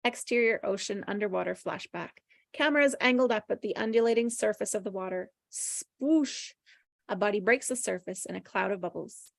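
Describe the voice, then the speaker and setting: A woman narrates a script's stage directions at a table read. Her mid-range voice has a noticeable creak, and the recording is close and dry. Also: mid-range voice, a little fast, no echo.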